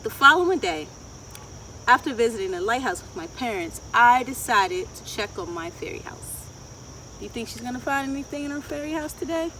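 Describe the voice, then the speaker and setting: A middle-aged woman reading a picture book aloud to children, expressive and deliberate, often putting questions to her listeners. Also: fairly high pitch. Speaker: children's storyteller. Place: outdoors in a wooded garden. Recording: outdoor, distant mic, ambient insect noise. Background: insects and outdoor ambience.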